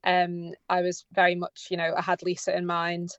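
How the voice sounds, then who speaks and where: Woman, interviewed writer, lowish pitch, even delivery, conversational, dry slightly muffled sound. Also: normal speed, smooth, dry recording.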